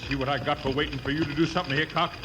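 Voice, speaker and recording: An actor playing an angry, accusing rancher who blusters in old western radio style. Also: male voice, fairly high pitch, little pitch movement, racing, lightly acted. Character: angry, accusing male rancher, hot-tempered. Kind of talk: 1950s western radio acting. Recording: noisy old radio recording.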